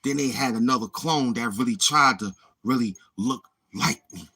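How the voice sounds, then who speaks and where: A man's voice: medium pitch, normal speed, pushed and bright, slightly rough. A man hosting his own channel in a boastful, taunting livestream monologue, swaggering and repeating himself. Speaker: YouTube channel host.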